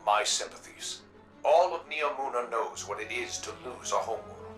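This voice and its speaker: Lively, formal newscaster played by a man. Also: medium pitch, echoey room, far from the mic, soft background music, close to an everyday voice. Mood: probing, courteous. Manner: formal, measured announcer phrasing. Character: polished city news broadcaster, formal and probing. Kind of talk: radio news interview in a video game.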